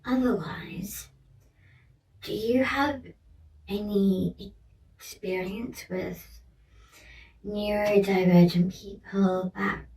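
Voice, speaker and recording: A woman playing a gentle, curious alien researcher in an ASMR roleplay, speaking softly and soothingly and often slipping into a whisper. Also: mid-range voice, steady tone, very slow, pauses often. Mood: gently curious. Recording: roomy echo, distant mic, faint noise.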